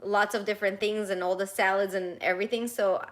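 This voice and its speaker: A woman's voice: mid-range voice, steady tone, brisk. A young woman whose first language is Armenian, chatting casually on a live stream as she reads and answers viewers' questions, with many fillers and restarts. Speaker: travel vlogger answering live chat. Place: home in Toronto.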